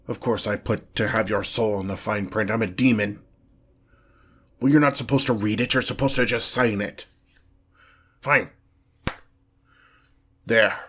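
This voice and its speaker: A man's voice: on the low side, fairly even intonation, fairly bright tone, husky, exaggerated voice. A man voicing a haughty, exasperated demon lord in a fantasy audio roleplay, speaking formally and pompously. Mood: reluctant, irritated. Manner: pompous, formal, slow deliberate phrasing with irritated sighs. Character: haughty, exasperated male demon lord, grandiose but petty. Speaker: character performer in roleplay audio. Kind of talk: scripted ASMR fantasy roleplay, one-sided dialogue.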